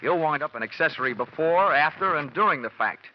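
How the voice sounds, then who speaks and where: An actor playing a cocky, wisecracking insurance investigator in an old radio detective drama, with a sardonic, slangy delivery. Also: male voice, a little high, animated, brisk, a little hiss or hum, lightly acted. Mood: sardonic, guarded. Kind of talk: 1940s-50s radio detective drama acting. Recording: dull vintage radio recording, music behind.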